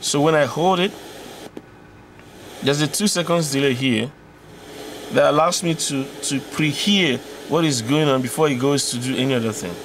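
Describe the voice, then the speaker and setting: A man presenting an electronics tutorial, explaining step by step in an informal, halting way with repetitions and self-corrections. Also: fairly high pitch, noisy background.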